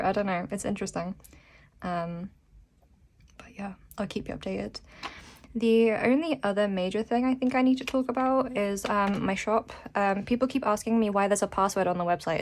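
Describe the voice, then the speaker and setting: A British woman chatting casually in a vlog update, rambling and self-deprecating in an informal way. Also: fairly low pitch. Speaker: vlogger and small shop owner.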